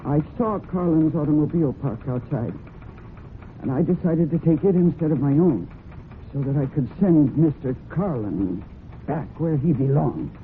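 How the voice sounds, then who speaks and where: A woman's voice: sits low, expressive, moderate pace. An actress playing a distraught, fiercely protective horsewoman, with a fraught, heightened delivery in old-time radio drama style. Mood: tense, foreboding. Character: distraught, fiercely protective horsewoman narrating her own crime story. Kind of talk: dramatic old-time radio acting with first-person narration. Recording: muffled phone-like old radio recording with hiss.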